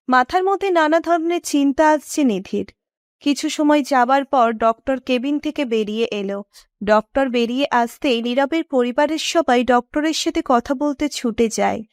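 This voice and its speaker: A woman narrates a scripted romance. Her voice is high, and the recording is dry and close with hardly any room sound. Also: no room sound.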